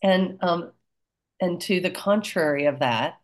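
A woman co-hosting a podcast while sick, her voice lower than usual, chatting casually and laughing often. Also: measured pace. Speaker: podcast co-host. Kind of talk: casual chat.

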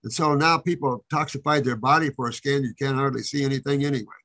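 A man's voice: mid-range voice, fairly even intonation, quick pace, a bit bright. A man hosting a health call-in show, giving rambling, folksy advice to callers. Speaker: host answering callers.

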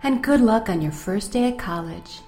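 A woman's voice: medium pitch, lively intonation, moderate pace, darkish tone, soft background music, natural voice. A woman acting in an audio drama as a protective, teasing mother who fusses affectionately and speaks bluntly. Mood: fond, concerned. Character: protective, teasing mother of a blind college student.

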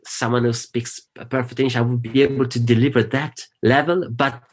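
A man from Italy with an Italian accent and Italian as his first language, a guest in casual conversation, halting and searching for his words. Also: medium pitch, steady tone.

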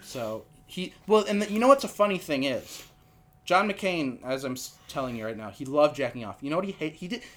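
A man with a nasal voice hosting a casual comedy podcast, telling absurd stories deadpan with lots of fillers into a podcast mic. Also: fairly high pitch, a little fast. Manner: rambling, deadpan absurd storytelling with many fillers. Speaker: podcast host. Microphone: podcast microphone.

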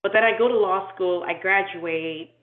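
A woman who grew up in Nigeria, talking through her life story in an interview, thoughtful and measured, with frequent ums and restarts. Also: medium pitch. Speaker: inclusion and diversity consultant, guest. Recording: tinny echoey phone-like call audio.

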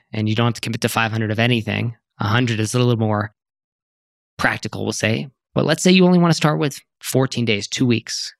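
A man's voice: medium pitch, no room sound, clean studio sound. A man hosting a business podcast, giving a motivational intro before interviewing a guest. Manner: motivational, emphatic, self-promoting. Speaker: podcast host.

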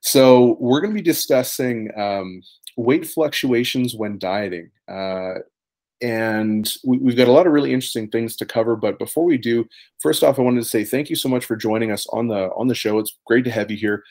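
A man of middle pitch hosts a podcast in a casual, upbeat tone. He puts friendly questions to his guest and drops in an occasional um or uh. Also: moderate pace, a little mellow. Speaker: podcast host.

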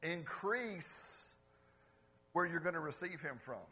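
Male pastor, fairly high, bright and husky; animated sermon, calls on the congregation. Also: takes it slowly. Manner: swings between loud exclamations and quiet asides. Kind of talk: lively conversational sermon. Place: church service before a congregation.

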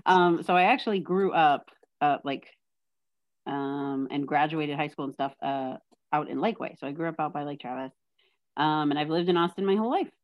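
A woman's voice: low voice, moderate pace, highs cut off. A woman from Texas chatting as a podcast guest, joking and poking fun at herself. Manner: joking, self-deprecating storyteller. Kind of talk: casual chat.

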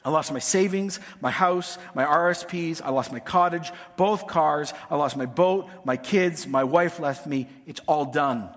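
High-voiced preacher in a reverberant hall. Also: male voice. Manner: emphatic, dramatic pauses, swings from hushed to forceful. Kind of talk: sermon, expounding scripture with dramatized paraphrase.

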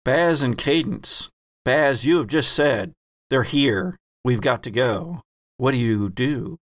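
A man narrating as game master of a tabletop roleplaying game, slow and halting, with many fillers. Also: medium pitch, animated, a bit bright, no room sound, clean studio sound.

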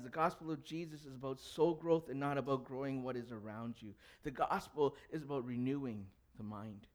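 A young adult man, a pastor whose first language was Cantonese, preaching a conversational sermon and putting questions to the congregation. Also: on the high side. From grew up in an English-speaking country.

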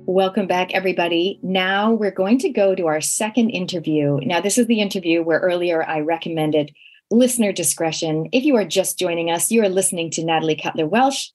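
A woman's voice: fairly low pitch, brisk, a little mellow, faint music behind. A woman with a Canadian accent hosting a radio talk show, earnest and chatty, often restarting her sentences. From New Zealand. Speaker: radio host.

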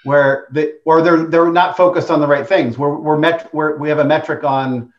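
A man's voice: fairly high pitch, steady tone, normal speed. A man hosting a panel discussion, chatting easily and drawing in the panelists. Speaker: host. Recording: echoey, muffled audio.

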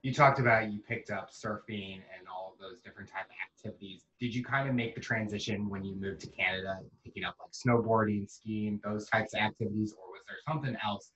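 Male podcast interviewer, crisp bright tone, fairly low pitch, conversational, recorded in a reverberant room. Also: fairly even intonation, brisk, few pauses, highs cut off. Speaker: podcast host. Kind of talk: podcast interviewing, casual questions.

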